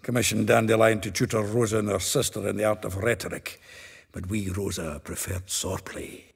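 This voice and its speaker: An earthy, ribald storyteller in a fantasy game. The voice is lowish, creaky and very husky, with an amused, gossipy manner. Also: male voice, little pitch movement, moderate pace. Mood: wry, confiding. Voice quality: gravelly, very husky. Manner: chatty, chuckling storyteller. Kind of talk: video game cutscene acting.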